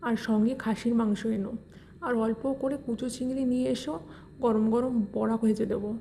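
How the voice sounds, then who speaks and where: Woman acting a mellow, doting village grandmother. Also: fairly high pitch, fairly even intonation, brisk, slight background noise, lightly acted. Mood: bossy, eager. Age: older. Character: doting, bossy village grandmother planning a family feast. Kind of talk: Bengali cartoon fairy-tale acting.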